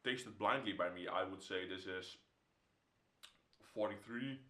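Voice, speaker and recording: Young Dutch-accented guy, lowish voice, relaxed home whiskey review, camera mic in a roomy-sounding room. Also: unhurried. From Netherlands. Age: young adult. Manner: relaxed, repeats words, drops to a whisper while tasting. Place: indoor home room, seated in armchair.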